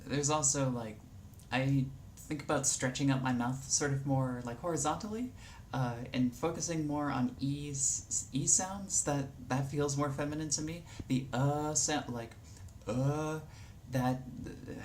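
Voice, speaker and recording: Young woman, very low pitch, casual tutorial chat, lots of ums, faint room noise. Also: measured pace. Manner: relaxed, rambling with many fillers. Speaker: vlogger.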